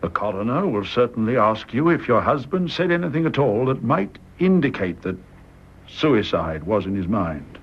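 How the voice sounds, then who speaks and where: An actor with a British accent playing a grave, considerate gentleman in a radio drama, measured, courteous and probing. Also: medium pitch, big pitch swings, highs cut off, a little hiss or hum.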